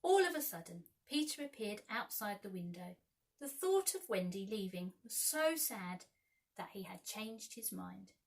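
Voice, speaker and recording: A woman narrating a children's story expressively, with dramatic, measured phrasing. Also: mid-range voice, very animated. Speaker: storyteller, school staff reader.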